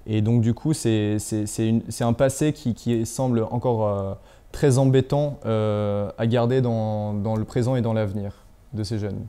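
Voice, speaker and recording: A young man speaks as an interview guest in a very dark, smooth voice. He searches for his words, pausing and restarting often. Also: lowish voice, fairly even intonation. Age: young adult. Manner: hesitant, many fillers and restarts. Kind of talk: interview answers.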